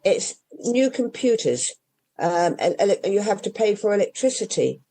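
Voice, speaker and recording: A woman's voice: mid-range voice, sing-song, normal speed, mellow. An older English woman who runs a bookshop, chatting in an interview and rambling through restarts and asides. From England. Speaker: independent bookshop owner. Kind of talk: casual interview chat.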